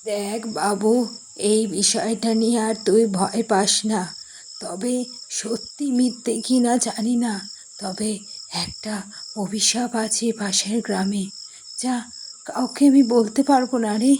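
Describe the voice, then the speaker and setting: A woman's voice: on the high side, fairly even intonation, measured pace, a little mellow, close to an everyday voice. A woman playing a worried, caring middle-aged mother who cautions her grown son in a horror cartoon. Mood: uneasy, warning. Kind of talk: Bengali horror cartoon dialogue. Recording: faint noise with chirping crickets.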